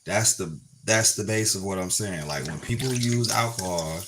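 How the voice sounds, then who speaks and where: A man's voice: low-pitched, normal speed. A man around forty on a live stream, chatting to viewers in a blunt, swearing storytelling rant from his phone. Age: 40s. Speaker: livestreamer. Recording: loud background noise with running water.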